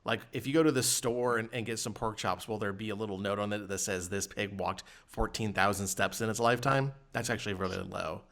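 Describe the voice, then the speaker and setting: A man's voice: fairly low pitch, fairly even intonation, a little fast, hardly any pauses, a bit bright. A man hosting a casual podcast, chatting in a joking, sardonic way. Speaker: podcast host. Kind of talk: casual chat.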